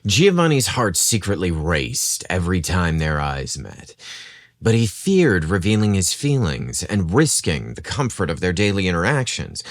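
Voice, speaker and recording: A man reading a scripted romance story as a podcast narrator, with a measured, dramatic storytelling delivery. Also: low voice, no room sound.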